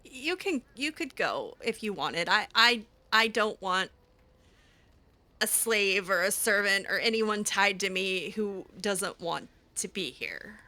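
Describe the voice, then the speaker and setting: A woman roleplaying aloud as she plays a fantasy game. Her voice is bright and clear-edged, and she talks slowly and hesitantly, often stammering as she improvises her words. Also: medium pitch, expressive. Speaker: roleplay gamer.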